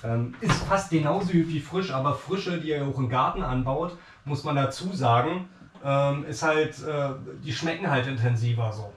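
A man's voice: fairly high pitch, moderate pace, a little hiss or hum. A man in his thirties hosting a casual home cooking video, chatting with lots of fillers as he works in a small kitchen, picked up by a camera mic across the room. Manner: chatty, many fillers, talks while cooking. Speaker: cooking show host.

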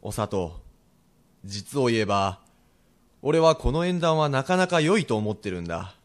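A man playing a frank, steely Edo-era merchant husband with rough old townsman speech in a radio drama. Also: mid-range voice, sing-song, a little slow. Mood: concerned, frank. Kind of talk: period radio drama acting.

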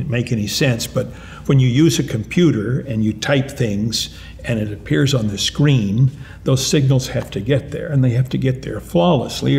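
Older man with a gravelly voice. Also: medium pitch. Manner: animated, with frequent restarts and repeated words. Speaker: retired mathematics professor. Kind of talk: reflective interview answers. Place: lounge-like room with armchairs and lamps, indoors.

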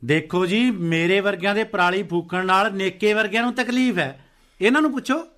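Stubborn farmer, high bright voice, Punjabi accent, defiant grumbling, sarcastic, radio drama. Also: male voice, moderate pace. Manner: argumentative, sarcastic, dismissive. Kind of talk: radio play acting.

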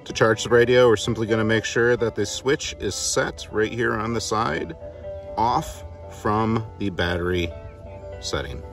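A man's voice: on the low side, a little slow, over music. A man giving a casual, playful hands-on product demo in a chatty, informal way. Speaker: product reviewer.

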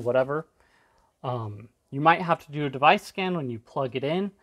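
A man giving a casual, off-the-cuff tech demo, rambling a little and trailing off mid-thought. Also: medium pitch. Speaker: tech video creator demonstrating a device.

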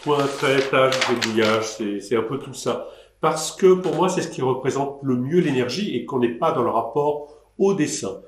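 A man's voice: mid-range voice, animated, moderate pace, slight background noise. A man in his sixties, an artist answering questions in a live online session, hesitant and rambling with many restarts. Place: indoor room at a desk. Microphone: webcam on a desk. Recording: roomy webcam audio.

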